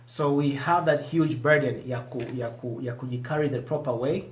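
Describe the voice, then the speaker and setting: Reflective Tanzanian man in echoey studio. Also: mid-range voice. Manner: measured, reflective, many restarts. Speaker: guest. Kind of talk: interview, switching between English and Swahili.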